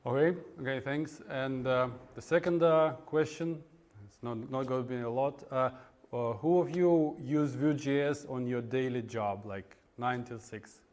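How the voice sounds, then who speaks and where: A man from Russia with a Russian accent giving an informal technical talk at a meetup, in a halting, hesitant way with frequent pauses. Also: mid-range voice, a little mellow. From Russia (Moscow). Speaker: conference speaker, web developer. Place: indoor meetup room in front of an audience.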